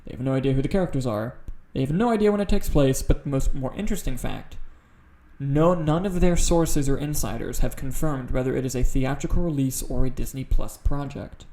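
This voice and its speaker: A man's voice: medium pitch, brisk, mellow. A man co-hosting a casual podcast, talking about film news in a blunt, profane, opinionated way. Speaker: podcast co-host.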